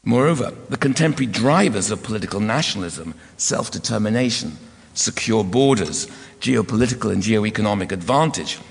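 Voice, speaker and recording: Hoarse, low-voiced male lecturer, formal and measured, recorded in a lecture hall with long reverb. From United Kingdom. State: hoarse, croaky voice. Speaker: guest lecturer. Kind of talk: academic lecture.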